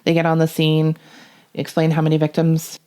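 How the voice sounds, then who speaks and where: A woman's voice: low voice, monotone, normal speed, mellow, clear, resonant tone. A middle-aged woman speaking plainly and matter-of-factly in a conversational interview as a police dispatcher describing her work.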